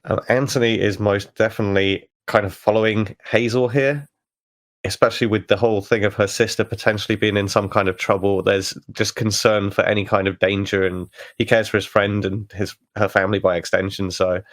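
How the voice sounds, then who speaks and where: Low-pitched man playing a tabletop game. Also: level tone, a little fast. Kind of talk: casual description of his character.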